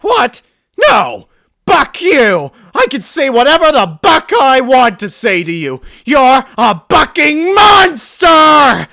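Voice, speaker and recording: A shrieking furious teenage mare. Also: male voice, very high voice, huge pitch swings, slow, vocal fry, bright, forceful tone, pitched above the performer's own voice, heavily acted. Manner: screaming, accusing.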